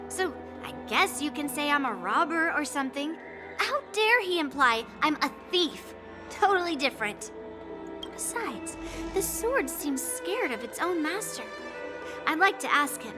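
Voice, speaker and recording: Cocky young swordswoman: high, smug, indignant, game music under. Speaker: game character. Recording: game audio with music behind.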